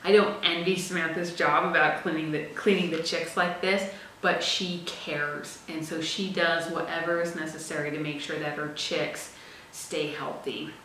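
Low-voiced woman in an echoey room. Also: moderate pace, far from the mic, slight background noise. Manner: chatty, animated, talks with her hands. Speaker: farm video host. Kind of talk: casual explainer to camera. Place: small room indoors, seated at a laptop.

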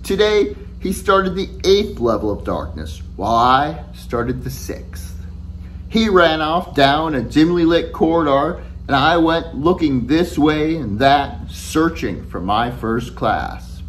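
A middle-aged man, an author reading his children's novel aloud to camera in an expressive, deliberate storytelling manner. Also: on the high side, slight background noise. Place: furnished room at home, indoors.

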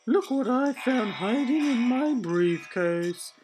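A woman's voice: fairly high pitch, animated, slow pace, poor audio. A woman voicing an eager, overly helpful Swedish muscle-bound male secretary with a moustache and a put-on Swedish accent, speaking in earnest, formal phrasing. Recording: music playing behind voice. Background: music.

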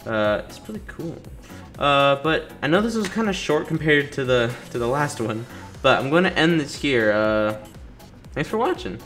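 A man's voice: medium pitch, animated, moderate pace, fairly bright tone. A man giving casual let's play commentary as a gaming video host, griping with impatient sarcasm. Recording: faint game music behind.

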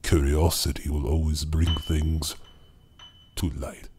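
An actor playing a superior, cryptic occult believer who speaks in grandiose, formal pronouncements in an audio drama. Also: male voice, rumbling low, little pitch movement, slow, darkish tone, a little hiss or hum. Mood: knowing, superior.

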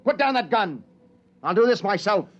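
A man's voice: sits high, very animated, moderate pace. An American man playing a stern, anguished spaceship captain in a 1950s radio drama, earnest and weighty. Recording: dull 1950s radio recording, faint music.

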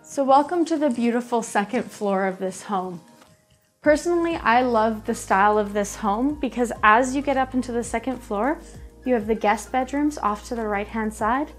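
A woman in her thirties with a fairly high voice guides viewers through a show home as she walks from room to room. Her delivery is upbeat and presenter-like. Soft music plays faintly behind her. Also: normal speed. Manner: upbeat presenter, describing rooms while walking through. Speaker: house tour host. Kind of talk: friendly real estate walkthrough. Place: empty furnished show home indoors. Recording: faint background music under voice.

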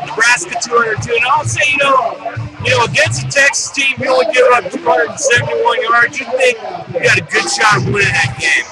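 A high-voiced man gives casual post-game sports commentary as the host of a live webcam stream. He speaks bluntly and colloquially, as a fan would, with music clearly audible behind him. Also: rough recording.

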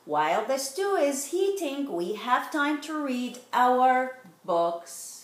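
Slow, high-pitched woman reading to children. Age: middle-aged. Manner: expressive, slow and deliberate, stretching rhyming words. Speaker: storyteller reading a picture book to children. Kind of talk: animated children's read-aloud. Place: living room at home, indoors. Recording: roomy home recording.

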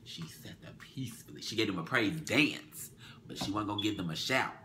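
A young man co-hosting a casual chat show, teasing with quick comebacks and often dropping to a murmur, recorded in a small bedroom. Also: fairly low pitch, lively intonation, bright, forceful tone, slight background noise. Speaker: co-host.

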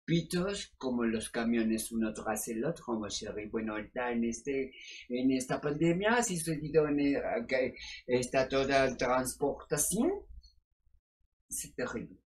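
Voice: mid-range voice, a little slow, natural voice. A performer playing a brazen, flirty woman sex-advice doctor with a Mexican accent, teasing and sprinkling in French endearments. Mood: wry. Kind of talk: comedy sketch advice segment.

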